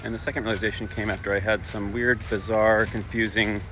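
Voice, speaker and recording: A man's voice: on the low side, level tone, a little fast, noisy background. A man casually telling a personal anecdote, rambling and self-deprecating, acting out dialogue. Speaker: storyteller.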